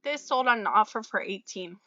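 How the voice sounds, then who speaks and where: Chatty woman, a bit raspy from a cold. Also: mid-range voice, animated, a little fast, pushed and bright, no room sound, lo-fi sound. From Florida. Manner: chatty, friendly, many fillers and small laughs. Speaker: reseller vlogger. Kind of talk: casual talk to camera about sales.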